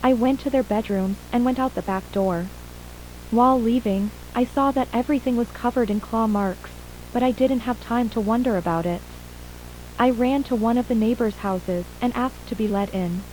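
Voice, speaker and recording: Casting: woman to play shaken mother and witness in horror audio drama, high, very soft-toned voice, hesitant recounting. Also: moderate pace, close to an everyday voice, low-quality recording. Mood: subdued, uneasy. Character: shaken mother and wife, surviving witness of an attack. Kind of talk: acted interview in an analog horror audio drama. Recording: faint hum and hiss.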